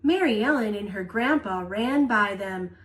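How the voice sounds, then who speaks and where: A woman reading a children's picture book aloud as storyteller, with expressive delivery and playful emphasis. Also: mid-range voice, unhurried, rough recording.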